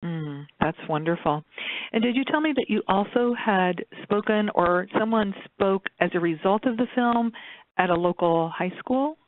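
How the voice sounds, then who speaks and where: A woman speaking in a measured, appreciative way as a panelist on a conference call. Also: medium pitch, animated. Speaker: charitable fund director, panelist. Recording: dry, muffled phone conference line.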